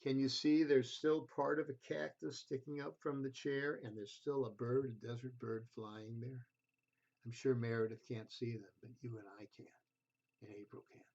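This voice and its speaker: Male storybook reader for children: expressive, deliberate delivery that addresses the listener, on a slightly muffled low-fi recording. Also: vocal fry. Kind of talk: children's read-aloud storytelling.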